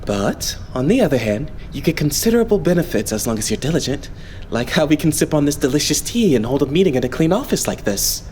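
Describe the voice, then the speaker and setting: A man voicing a polite, composed young idol leader in an anime fandub, explaining things in a measured way. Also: fairly high pitch, very animated, a little mellow, slight background noise. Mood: calm explaining. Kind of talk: anime game fandub acting.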